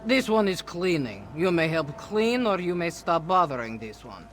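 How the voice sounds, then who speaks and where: A woman voicing a gruff, deadpan cat-folk deckhand in a video game, speaking of herself in the third person. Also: on the low side, moderate pace, background noise. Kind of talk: scripted video game dialogue.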